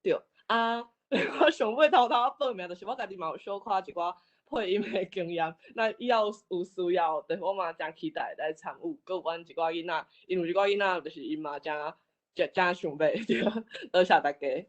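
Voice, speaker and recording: A woman with a Taiwanese accent asking and commenting casually in an online meeting, eager and halting. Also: fairly low pitch. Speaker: audience participant.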